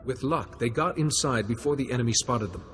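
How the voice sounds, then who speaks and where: An actor playing a calm, diplomatic male Jedi sage in a video game, speaking in a measured, formal way. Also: mid-range voice, in a large hall, slight background noise, lightly acted. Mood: serious.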